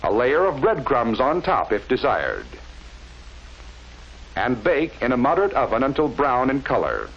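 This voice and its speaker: A man narrating a scripted 1940s instructional film in a measured, didactic way with careful enunciation, on a vintage film soundtrack. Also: medium pitch, huge pitch swings, fairly bright tone, muffled recording.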